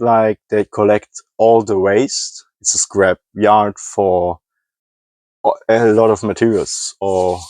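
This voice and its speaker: A man's voice: fairly low pitch, darkish tone, dry recording. A man from Germany who speaks English as a second language with a German accent, rambling through a casual vlog and often stopping to search for words and correct himself. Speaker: scrapping hobbyist.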